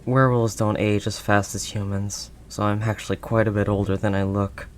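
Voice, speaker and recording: A man playing a protective older male werewolf loner, gentle and conversational, in an intimate audio roleplay. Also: on the low side, flat delivery, normal speed, a little hiss or hum, lightly acted. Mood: wry, amused. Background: rain ambience sound effects.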